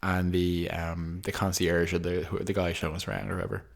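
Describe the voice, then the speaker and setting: A young man co-hosting a podcast in casual chat, dry and teasing, with drawn-out fillers. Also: low-pitched, level tone, creaky. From Ireland. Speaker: podcast co-host.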